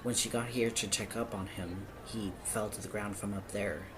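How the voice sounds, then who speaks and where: Low-voiced, awkward police officer played by a man. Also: fairly even intonation, normal speed, background noise, lightly acted. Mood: matter-of-fact, somber. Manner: awkward, hesitant, apologetic. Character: nervous, awkward male small-town police officer investigating murders. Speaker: police officer character in audio roleplay. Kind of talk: audio roleplay acting, one-sided dialogue.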